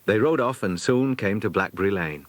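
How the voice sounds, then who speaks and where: British male storyteller, children's audiobook, animated delivery in a dry close recording. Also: fairly low pitch, normal speed, no echo. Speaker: audiobook narrator. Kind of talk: scripted children's story narration.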